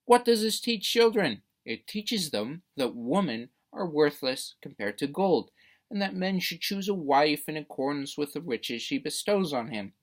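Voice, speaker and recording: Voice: medium pitch, lively intonation, a little slow. A debate speaker delivering a prepared persuasive speech in a formal, emphatic, rhetorical manner.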